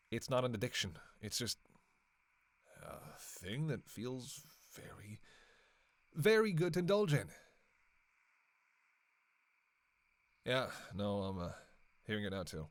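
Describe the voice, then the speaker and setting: A man's voice: on the low side, sing-song, moderate pace, no echo. A man playing a sardonic, flirtatious, long-lived vampire killer in a roleplay audio drama, with casual, teasing, sweary banter. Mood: defensive, musing. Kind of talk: casual roleplay audio acting, one side of a dialogue.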